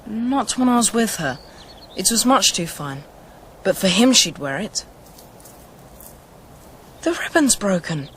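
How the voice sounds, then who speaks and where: A young male actor playing an earnest, imperious noble boy in a radio drama, with a youthful, breathy voice and formal, insistent delivery. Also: sits high, sing-song, takes it slowly, long pauses, fairly bright tone, slight background noise, heavily acted. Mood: earnest, anxious. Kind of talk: British radio drama acting.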